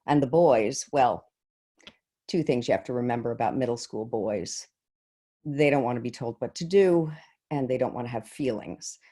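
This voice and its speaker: A woman playing an earnest, shaken middle school teacher in a staged monologue, reflective and halting, with deliberate pauses, over a video call. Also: a bass voice, warm tone.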